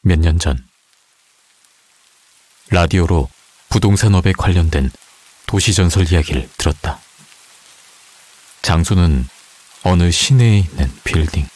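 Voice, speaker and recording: A man calmly tells an urban legend from a script in an even, matter-of-fact way. His voice is very deep, with a husky, breathy grain. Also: measured pace, pauses often. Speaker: storyteller.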